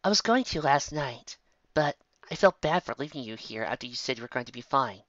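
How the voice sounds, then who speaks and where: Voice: medium pitch, animated, a little fast, fairly bright tone, dry recording, highs cut off, close to an everyday voice. A reader doing a caring young pony mare. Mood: concerned.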